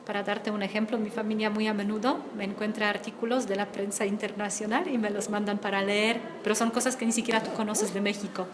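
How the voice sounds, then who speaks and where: A young woman from Poland talks in a chatty way, filling pauses as she thinks. Her voice sits in a middle range. Clear background noise runs under her. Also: mid-range voice, flat delivery. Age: young adult. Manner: chatty, many fillers. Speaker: interviewee. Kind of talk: student video interview.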